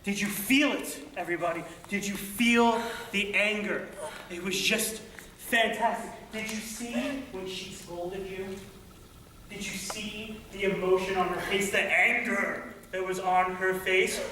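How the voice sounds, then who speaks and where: A man playing a theatrical play director who heaps slow, dramatic praise on his cast. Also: high-pitched, steady tone, sharp tone, slight background noise. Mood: effusive. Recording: very echoey room, mic far off.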